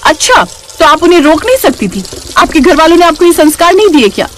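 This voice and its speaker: A woman voicing a short, sharp-tongued, bratty young sister-in-law in a story, whiny, scolding and sarcastic. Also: very high voice, sing-song, fast talker, a little hiss or hum, pitched above the performer's own voice, exaggerated voice. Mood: angry, accusing.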